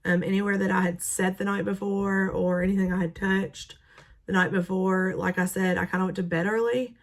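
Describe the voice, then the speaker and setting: A young woman with a raspy, hoarse voice, sick and talking through her story casually with many pauses and ums. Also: mid-range voice, level tone. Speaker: teacher. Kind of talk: personal vlog recounting illness.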